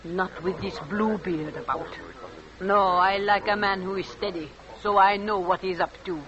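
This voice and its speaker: A woman's voice: mid-range voice, fairly even intonation, moderate pace, a bit bright, slight background noise, close to an everyday voice. An actress playing a nosy, opinionated village shopkeeper woman, chatty and blunt with sly insinuation, in old radio drama. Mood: disapproving. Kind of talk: 1940s radio drama acting. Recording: dull old radio recording.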